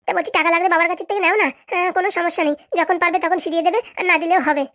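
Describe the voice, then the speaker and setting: A woman's voice: very high voice, steady tone, racing, fairly bright tone. A woman voicing a lovesick, clingy young girlfriend in a comedy cartoon, with a pleading, insistent manner. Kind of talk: Bangla comedy cartoon dialogue.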